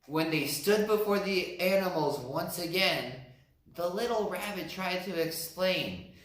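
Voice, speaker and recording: Brief: male teacher reading to kids, bright voice, lively pitch. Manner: slow, expressive narration. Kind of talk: storybook read-aloud. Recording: roomy echo.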